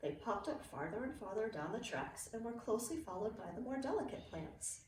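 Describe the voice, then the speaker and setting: Middle-aged female teacher, children's storybook read-aloud, very animated intonation, recorded in a roomy space away from the mic. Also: fairly high pitch, a little fast. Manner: expressive, clearly phrased storytelling for young children. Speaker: early learning teacher reading to students. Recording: roomy, distant mic with faint noise.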